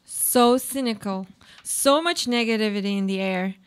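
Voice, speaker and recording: An actress playing a brooding, cynical, uneasy married woman in a literary radio play, speaking in a deliberate, poetic way. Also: medium pitch, smooth, lightly acted. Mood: disdainful.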